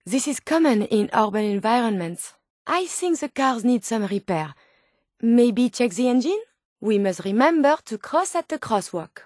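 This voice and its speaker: A high, dryly recorded voice of an earnest female robot scientist for a video game. She is endlessly curious and polite, choosing precise, bookish words with naive sincerity. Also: big pitch swings, moderate pace, a little mellow, no room sound, clean studio sound. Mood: curious, matter-of-fact. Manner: precise, formal, bookish phrasing. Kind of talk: video game companion dialogue.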